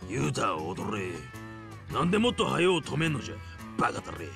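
Gravelly, brash Hiroshima yakuza captain. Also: male voice, mid-range voice, wildly swooping pitch, a little fast, music in the background. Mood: groggy, annoyed. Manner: gruff, swaggering, scolding. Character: brash, rough middle-aged yakuza captain, fiercely loyal. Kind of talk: Japanese video game voice acting.

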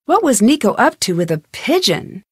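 A woman playing a young, curious and determined amateur detective in a video game, thinking aloud in clearly articulated scripted lines. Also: a little high, very animated, normal speed, no room sound, studio quality. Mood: musing, focused.

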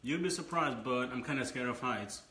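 A man's voice: medium pitch, normal speed, bright, forceful tone. A man in his early twenties co-hosting a podcast, telling a personal story in casual conversation. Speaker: podcast co-host. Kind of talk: casual chat, personal anecdote. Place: indoor room with brick and wood-panel wall. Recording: echoey room with background noise.

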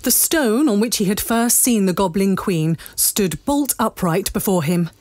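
A woman narrating a dramatic scripted story in measured, deliberate phrases. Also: mid-range voice, animated, darkish tone, dry recording. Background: music.

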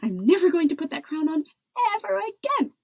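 A woman's voice: high-pitched, very animated, moderate pace, breathy edge, dull audio, heavily acted. A woman voicing a stubborn, rebellious young princess in a storybook, whining emphatically. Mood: indignant. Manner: whiny, emphatic, defiant. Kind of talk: storybook character voice.

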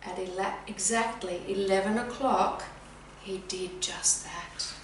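A middle-aged woman reading a children's story expressively and deliberately, addressing young listeners directly. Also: mid-range voice. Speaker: teacher reading a picture book. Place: indoors, sitting room with bookcase. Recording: very echoey room, distant mic, faint hum.

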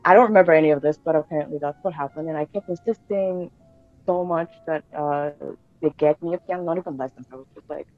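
A woman's voice: low voice, fast, soft background music. A woman in her thirties, born in Bulgaria and raised in Canada, chatting casually as a guest over a video call, with many fillers and restarts. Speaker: guest pianist. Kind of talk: casual interview chat. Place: home room indoors. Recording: tinny video call audio.

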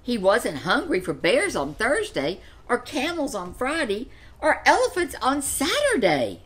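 An older woman in her seventies reading a children's picture book aloud in an expressive storytelling style. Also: a little high, unhurried. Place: furnished living room, seated in an armchair.

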